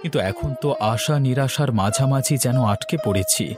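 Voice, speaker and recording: A man's voice: mid-range voice, brisk, over music, close to an everyday voice. A man voicing a poor, earnest farmer in a cartoon story, speaking in a pleading, despairing way. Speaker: voice actor. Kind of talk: Bengali cartoon story acting.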